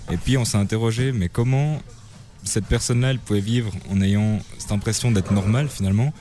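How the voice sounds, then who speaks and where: Low-voiced band member. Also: male voice, steady tone, fairly quick, warm tone. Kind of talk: casual interview answers.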